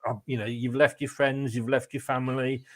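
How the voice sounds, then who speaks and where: A man's voice: mid-range voice, little pitch movement, fairly quick. A middle-aged man with an English accent hosting a casual interview, chatty and rambling in his questions. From England. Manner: chatty, rambling, chuckles between phrases. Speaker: fan channel host, interviewer.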